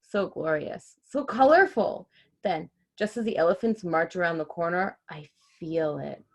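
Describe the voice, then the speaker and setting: Lower-voiced woman reading a story aloud. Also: measured pace. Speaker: reader of a children's story and host. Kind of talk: expressive read-aloud storytelling, then casual chat.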